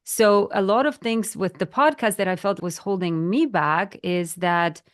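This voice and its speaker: A woman's voice: medium pitch, measured pace, clear, resonant tone. A woman in her thirties from Poland with a Polish accent, co-hosting a podcast chat and talking earnestly, sometimes searching for words. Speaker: podcast co-host. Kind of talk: casual chat.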